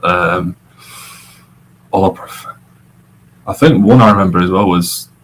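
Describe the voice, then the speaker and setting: A man's voice: low voice, unhurried, a little hiss or hum, poor audio. A young man from Scotland, a podcast guest, chatting casually and rambling with fillers. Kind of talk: casual chat.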